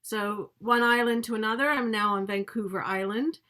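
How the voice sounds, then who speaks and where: A woman from the Bahamas introducing herself on a group call, playful and warm. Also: medium pitch, moderate pace. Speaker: participant.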